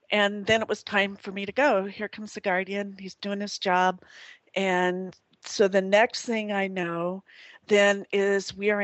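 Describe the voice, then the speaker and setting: A woman guest tells of a personal spiritual experience, rambling and restarting as she goes. Her voice sits at an average pitch, and the sound is dry and close. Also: no echo. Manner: rambling, with many restarts and soft laughs.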